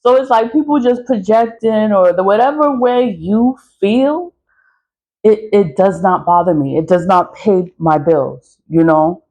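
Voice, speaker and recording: A woman's voice: mid-range voice, measured pace, warm tone, poor audio. A woman in her mid-forties ranting casually to her followers, blunt and profane, laughing often between points. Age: 40s. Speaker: social media creator. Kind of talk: casual vlog rant.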